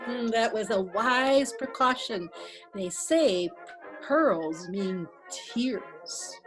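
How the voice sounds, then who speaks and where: Casting: woman reading a prim, curious lady, unhurried delivery. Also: medium pitch, lively intonation, over music. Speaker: reader in a play reading. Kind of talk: amateur readers' theatre acting.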